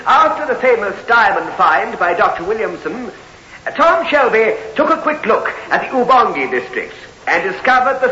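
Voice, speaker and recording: An actor playing a fussy, earnest village vicar in a radio drama, speaking formally and hesitantly. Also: male voice, high-pitched, pushed and bright, slightly rough, exaggerated voice. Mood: proud, declamatory. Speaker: clergyman character. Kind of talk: 1960s radio drama acting. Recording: muffled, hissy old radio recording.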